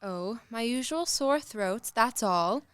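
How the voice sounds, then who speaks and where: A high, very animated society matron, played by a woman, affected and fussy in a radio play. She gushes and chides with genteel ambition, in a dry studio sound. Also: takes it slowly, smooth, no room sound. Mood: chiding, genteel. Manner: affected, gushing, chiding. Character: fussy, genteel, socially ambitious middle-aged wife and mother.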